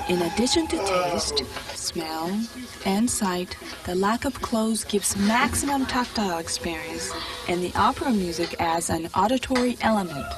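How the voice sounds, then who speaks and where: A woman narrating an educational video in a calm, explanatory way. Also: mid-range voice. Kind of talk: documentary narration. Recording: video clip played from a distance with music.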